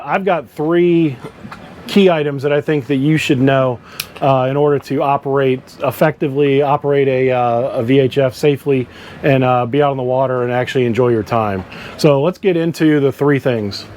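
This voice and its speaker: A man hosting an informal instructional boating video, speaking in a halting way as he searches for his words. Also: mid-range voice, a little mellow. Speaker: boating channel host. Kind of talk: informal instructional explainer to camera. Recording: clear background noise.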